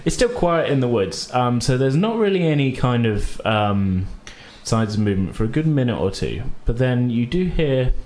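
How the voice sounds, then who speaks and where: A man's voice: medium pitch, big pitch swings, normal speed, hardly any pauses, darkish tone. A man acting as game master, explaining rules and narrating a tabletop game in an informal, conversational way. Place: indoor room with other players. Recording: roomy echo, faint background noise.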